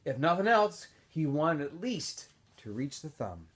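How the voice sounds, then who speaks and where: A man, a teacher, reading a novel aloud for students, with expressive delivery, small asides and self-corrections. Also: a little high, a little slow. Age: adult.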